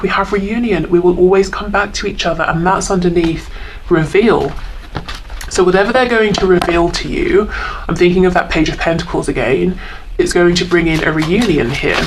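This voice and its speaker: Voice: mid-range voice, background noise. A tarot reader talking informally through a card reading, thinking aloud in a hesitant, reflective way and reading out messages.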